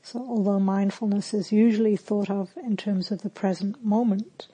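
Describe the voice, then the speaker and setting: A woman meditation teacher leading a guided meditation in a calm, gentle, soothing manner with long pauses. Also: mid-range voice, husky, rough recording.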